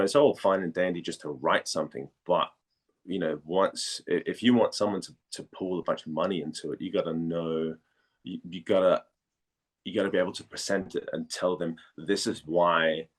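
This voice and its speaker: A low-voiced man from Denmark chats as an interview guest. He talks casually and haltingly, doubling back on words while he works out what he means. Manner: halting, repeats words as he thinks. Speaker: guest, actor and former model.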